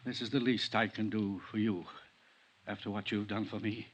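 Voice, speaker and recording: An actor playing a genteel, devoted and menacing husband in a 1950s radio drama, speaking formally and precisely with polite threat. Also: male voice, fairly low pitch, level tone, normal speed, slightly rough, muffled recording. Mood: cold, protective.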